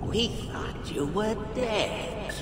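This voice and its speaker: A taunting masked villain. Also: male voice, high-pitched, lively intonation, very slow, echoey room, very noisy, exaggerated voice. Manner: taunting, shouting. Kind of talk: video game cutscene acting.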